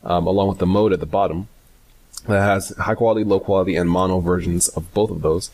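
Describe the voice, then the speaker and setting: A man giving a casual software tutorial, hesitant and informal as he thinks aloud. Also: sits low, fairly even intonation, fairly quick, a little hiss or hum. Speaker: tutorial host.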